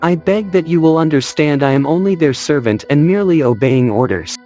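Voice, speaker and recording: A man's voice: fairly high pitch, animated, fairly quick, warm tone, soft background music, close to an everyday voice. A man playing an uneasy, formal company messenger in a dramatized audio story, speaking hesitantly. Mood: formal, apologetic. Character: ill-at-ease, formal company messenger bearing bad news.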